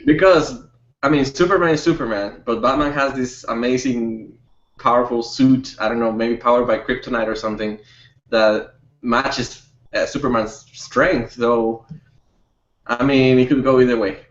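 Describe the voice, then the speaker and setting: Regular pitched guy chatting casually, bit tentative, roomy dull audio. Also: steady tone, normal speed. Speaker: discussion panelist. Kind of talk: casual group discussion. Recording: echoey room, dull lo-fi audio.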